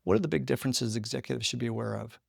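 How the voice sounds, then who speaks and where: A man with a mid-pitched, noticeably creaky voice hosts a conversational interview. He speaks as the one putting the questions. The recording is close and dry, free of room sound. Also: expressive, racing, a little mellow, no echo. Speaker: interview host.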